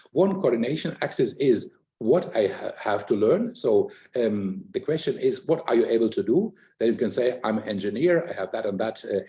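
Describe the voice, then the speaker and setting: An older man talks through his ideas in a thoughtful, explanatory way. He pauses often with fillers. His voice is mellow, at a middle pitch for a man. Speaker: academic guest in a conversation. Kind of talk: reflective conversational talk.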